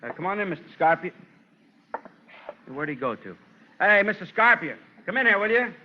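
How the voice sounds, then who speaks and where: An actor playing a brash, blunt police sidekick in an old radio crime drama, casual in manner. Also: male voice, high-pitched, sing-song, moderate pace. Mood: brisk. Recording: muffled, faintly noisy old radio recording.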